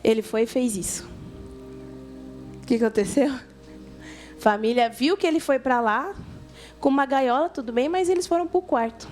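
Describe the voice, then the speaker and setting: A Brazilian woman with a notably high voice gives an informal youth sermon. She talks colloquially and conversationally, addressing listeners directly and voicing dialogue as she goes, with music faintly behind her. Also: moderate pace, pauses often, darkish tone. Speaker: youth church preacher.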